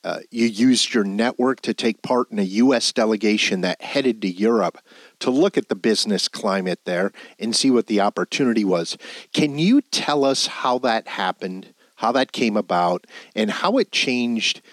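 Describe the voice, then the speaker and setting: A man hosting a podcast, reading out his introduction and questions in a smooth, scripted way. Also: on the low side, animated, normal speed, no echo. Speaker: podcast host.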